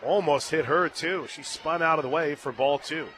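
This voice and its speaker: Bright, high-pitched American male play-by-play man. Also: normal speed. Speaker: play-by-play announcer. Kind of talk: live sports radio commentary. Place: stadium radio booth. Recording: faint music and crowd noise behind. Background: ballpark crowd and faint music.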